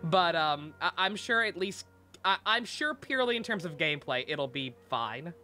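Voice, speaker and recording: Woman game streamer, low relaxed chat, rambling, joking, repeats phrases, game music behind. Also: moderate pace. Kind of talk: casual livestream chat.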